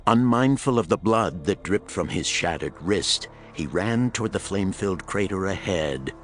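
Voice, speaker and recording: A man's voice: sits low, expressive, moderate pace, soft background music. A man narrating a pulp thriller audiobook in a dramatic, suspenseful storytelling manner.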